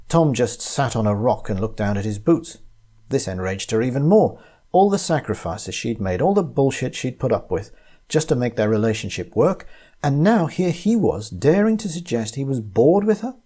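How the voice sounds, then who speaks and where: A man reading a short story aloud as its narrator, in an expressive, dramatic way. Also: mid-range voice, normal speed.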